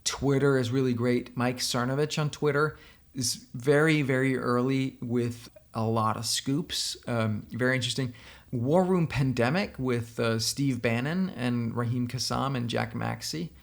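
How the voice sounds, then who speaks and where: Gravelly Danish-accented man, opinionated. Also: medium pitch, unhurried. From Denmark. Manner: opinionated, talking straight to the viewer. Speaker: software company founder giving political commentary. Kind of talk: casual commentary responding to a blog post.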